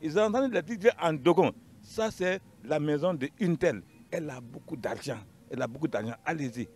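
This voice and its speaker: A man's voice: a little high, expressive, a little fast, darkish tone. A man with a Malian accent giving an unscripted account as a panel guest, emphatic and repeating his phrases.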